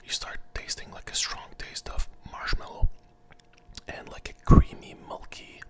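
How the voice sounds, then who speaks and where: Someone whispering asmr style reviewing candy, kinda hesitant, says stuff twice. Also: measured pace.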